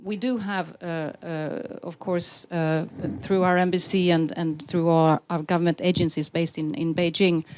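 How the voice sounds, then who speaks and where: A woman speaking for the government on a conference panel, halting with many fillers and repeating phrases. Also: on the low side, steady tone, a little mellow, slight background noise. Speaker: government representative. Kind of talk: panel discussion.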